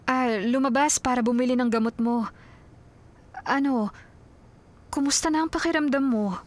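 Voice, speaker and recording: A woman's voice: sits high, normal speed, lightly acted. An actress with a Filipino accent playing a devoted, self-sacrificing young woman in love in a radio drama. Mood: gentle, reassuring.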